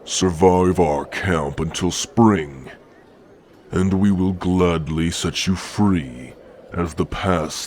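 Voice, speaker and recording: A man's voice: sits low, darkish tone, slight background noise, full character voice. A man voicing an older orc clan chief in an ASMR roleplay, grave and imperious, with solemn, formal speech.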